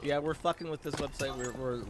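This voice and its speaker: A man streaming in a sarcastic, profane, exasperated rant, often halting mid-thought. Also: mid-range voice, fairly even intonation, heavy background noise. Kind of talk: ranting game-stream commentary. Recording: loud game music and gunfire behind voice. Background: video game music and gunfire.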